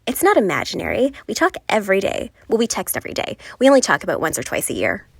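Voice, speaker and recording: A woman playing a lovelorn, frustrated passenger venting confessionally in an animated series. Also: medium pitch, fast, creaky, slightly rough, no room sound, exaggerated voice. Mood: defensive.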